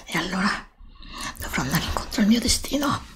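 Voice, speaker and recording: Expressive whispering heroine acted by a woman. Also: mid-range voice, brisk, close to an everyday voice. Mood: bewildered, anxious. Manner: breathy, expressive, questioning. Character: earnest, bewildered young heroine, the chosen one. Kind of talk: whispered ASMR roleplay. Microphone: close desktop USB microphone.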